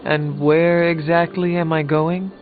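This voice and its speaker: A man as a solemn, slow ancient warrior. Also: fairly high pitch, little pitch movement, phone-quality sound, lightly acted. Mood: guarded. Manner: archaic, grave, deliberate. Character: solemn, proud ancient warrior raised from death. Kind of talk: video game character dialogue. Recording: thin band-limited game audio over music.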